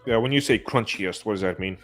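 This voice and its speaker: A man's voice: fairly low pitch, fast, fairly bright tone, soft background music. A man hosting a casual tabletop gaming discussion, putting questions to the other speakers. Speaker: host of a discussion. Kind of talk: casual panel talk about tabletop games.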